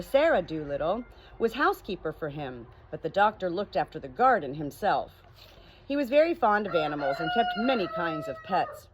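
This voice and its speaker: Animated lady reading a kids storybook, pitch goes up and down a lot, roosters and farm noise behind her. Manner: animated, emphatic, drawing out key words. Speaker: audiobook reader. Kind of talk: expressive children's storybook narration with informal introduction. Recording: noisy farmyard ambience, roosters crowing. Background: farm animals, crowing roosters.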